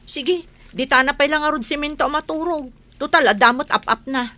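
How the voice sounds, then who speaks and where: An actress playing an inquisitive, chiding daughter of a village household in a comedy radio drama. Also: female voice, high-pitched, moderate pace, sharp tone, no room sound. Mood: curious.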